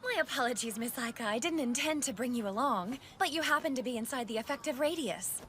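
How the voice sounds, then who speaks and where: A woman's voice: a little high, expressive, quick pace, fairly bright tone, a little hiss or hum. A woman playing a pushy, mysterious anime girl in an English dub, with a theatrical way of pleading and teasing. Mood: explanatory.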